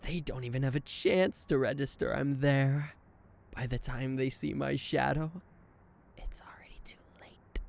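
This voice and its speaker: A man's voice: medium pitch, a little slow, vocal fry, a little mellow. A man voicing a smug male anti-hero villain who gloats and teases a captured hero in an ASMR roleplay, with a mocking, flirtatious drawl. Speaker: voice actor.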